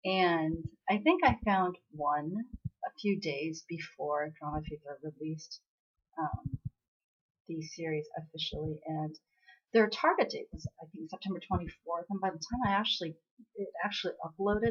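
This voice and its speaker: A woman's voice: deep voice, moderate pace, breathy edge, muffled recording. An American woman who vlogs about dramas, chatting in a meandering way with lots of fillers. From United States, born in Korea. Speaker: drama vlogger. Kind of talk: casual rambling chat.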